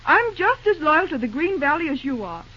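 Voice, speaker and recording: A spirited young daughter, emotional and easily hurt. Her voice is high. It is old-time radio acting on a muffled, slightly noisy recording. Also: big pitch swings, normal speed, bright, forceful tone, full character voice. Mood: indignant, hurt. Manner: emotional, earnest.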